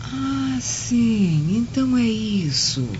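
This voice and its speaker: A woman's voice: on the high side, lively intonation, very slow, a little mellow, a little hiss or hum. An actress playing a blunt, insinuating Roman noblewoman in a radio drama. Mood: suspicious. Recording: dull lo-fi, clear music behind.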